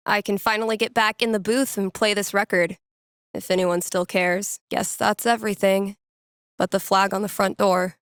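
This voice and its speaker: A wry young woman, played by an actress, thinks aloud as a video game character working in a record store. Her delivery is casual and conversational, with dry sarcasm and a nerdy, playful streak. The pitch sits in an ordinary middle range for a woman, and the sound is close and dry, free of room echo. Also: fairly bright tone, smooth, natural voice. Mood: upbeat, playful, then wry, weary, then matter-of-fact. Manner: casual, dryly sarcastic, with sighs and playful asides.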